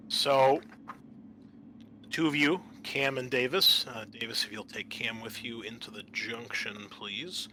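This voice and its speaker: A man narrating an improvised tabletop game as game master, halting often mid-sentence. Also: mid-range voice, fairly even intonation, bright, forceful tone, a little hiss or hum.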